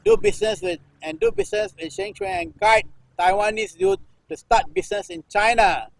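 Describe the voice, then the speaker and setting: A man in his sixties from Singapore, a performance artist reading news text aloud in halting runs, restarting phrases and repeating a refrain. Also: extremely high pitch, vocal fry, no room sound, low-quality recording. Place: temple-like cage at an art festival.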